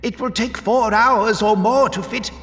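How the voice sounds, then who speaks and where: A man voicing an anxious, servile little alien engineer in a sci-fi audiobook, with a strained, creaky voice and a nervous, deferential manner. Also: fairly high pitch, animated, very slow, voice pushed up, full character voice. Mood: panicked, pleading. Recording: long reverb, faint music behind.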